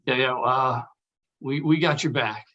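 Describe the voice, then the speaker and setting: A man's voice: mid-range voice, steady tone, a little slow, pushed and bright, dull audio. An actor at a table read playing a polite, cautious male film actor with a hesitant, courteous manner. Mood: awkward.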